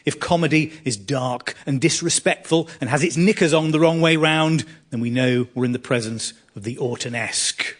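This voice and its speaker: A man's voice: mid-range voice, moderate pace, few pauses, fairly bright tone. A man presenting a live radio drama evening to a theatre audience, reading a scripted introduction in a wry, arch manner. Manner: wry, arch delivery with dry jokes.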